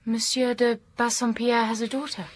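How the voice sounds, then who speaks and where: A woman acts a young English schoolteacher heroine in a period drama, with a slightly raised, husky voice. She is reserved and quietly firm, choosing her words in a measured, formal way. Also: on the high side, flat delivery, no echo, close to an everyday voice. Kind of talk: period radio drama acting and narration.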